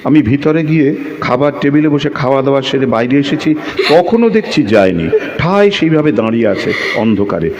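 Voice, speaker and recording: A man playing a lovestruck, brooding narrator of a secret romance in an audio drama, with poetic phrasing and pauses. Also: medium pitch, expressive, muffled recording, crowd noise, lightly acted. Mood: brooding, reflective.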